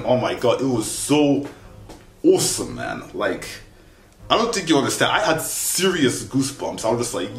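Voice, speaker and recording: A young man speaks in an average-pitched voice, reviewing casually and conversationally with plenty of slang. He sounds animated, recorded a short way from the camera mic in an echoey room, with faint music behind him. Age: young adult. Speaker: film reviewer. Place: small wood-furnished bedroom, indoors.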